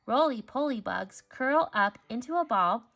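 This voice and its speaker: A woman's voice: on the high side, expressive, a little slow, a bit bright, full character voice. A woman voicing a focused little boy in a storybook, speaking matter-of-factly about his interests. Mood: absorbed. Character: focused little boy absorbed in bugs and buses.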